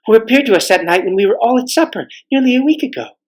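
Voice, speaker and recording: Man voicing an eager schoolgirl, lifted high. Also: animated, fast. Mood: excited. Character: plucky, polite schoolgirl from another world.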